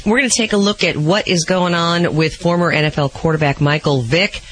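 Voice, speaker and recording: Bright-voiced chatty male radio co-host. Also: fairly high pitch, fairly quick, faint music behind. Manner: chatty storyteller, teasing. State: losing his voice. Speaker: radio co-host reading pet news. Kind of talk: casual radio banter. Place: radio studio.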